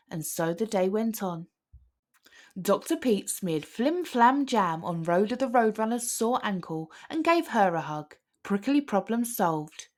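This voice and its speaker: A woman narrating a children's picture book aloud as an expressive storyteller. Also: mid-range voice. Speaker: storybook reader.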